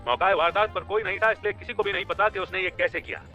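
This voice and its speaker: Man acting dramatic high-pitched female news anchor. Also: steady tone, fast talker, bright, forceful tone, lo-fi sound, faint music behind. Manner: dramatic, heightened. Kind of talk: sensational news report delivery.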